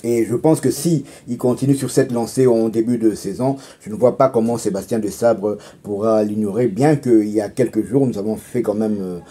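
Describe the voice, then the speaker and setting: A man's voice: lowish voice, normal speed, hardly any pauses, mellow, slightly rough. A man hosting a casual football talk show, speaking with many hesitations, fillers and restarts.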